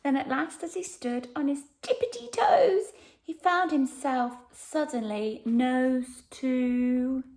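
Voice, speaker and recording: A woman narrating a children's picture book expressively, with dramatic pauses and hushed emphasis. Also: sits high. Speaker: storyteller.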